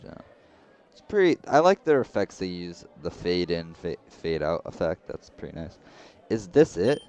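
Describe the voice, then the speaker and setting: A man's voice: low voice, darkish tone, clean tone. A young man reading a visual novel aloud and making wry, joking asides. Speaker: gaming video creator. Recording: slightly dull lo-fi recording.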